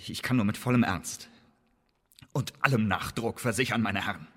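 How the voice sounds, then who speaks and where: A male actor playing an accused spy in a German radio play, earnestly and stubbornly protesting his innocence in formal, emphatic words with a husky voice. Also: lowish voice, moderate pace, bright, forceful tone. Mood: agitated insistence. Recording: reverberant hall-like radio drama room.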